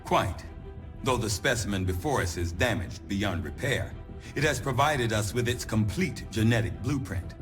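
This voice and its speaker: An actor playing a formal, analytical scientist in a video game cutscene, speaking precisely and with measure. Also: male voice, fairly low pitch, lively intonation, moderate pace, lightly acted. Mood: measured, serious. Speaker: chief scientist. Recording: music behind the voice.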